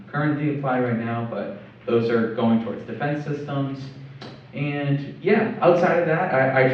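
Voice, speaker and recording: A young man speaking on an engineering panel to students, upbeat and quick, often adding "right?". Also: mid-range voice, a little hiss or hum. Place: college cafe panel. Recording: roomy, distant, dull.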